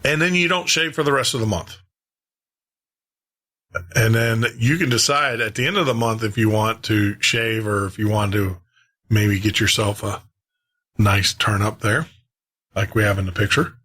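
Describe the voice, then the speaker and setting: A man's voice: lowish voice, fairly even intonation, normal speed, pauses often, sharp tone. Casual male podcast host. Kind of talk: casual radio show talk introducing old-time radio drama.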